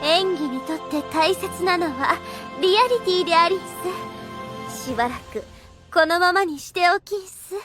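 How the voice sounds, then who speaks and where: Very high-pitched haughty undead girl. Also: moderate pace, a bit bright, faint music behind. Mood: proud. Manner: quaint affected courtly speech, dramatic. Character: theatrical scheming female undead girl, haughty and excitable. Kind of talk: Japanese anime audio drama acting.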